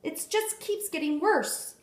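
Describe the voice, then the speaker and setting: A woman's voice: very high voice, animated, a little slow, pitched above the performer's own voice. An anxious, distraught storybook mother, acted by a woman reader. Her raised, pleading voice sounds worried and carries a room echo. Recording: echoey room.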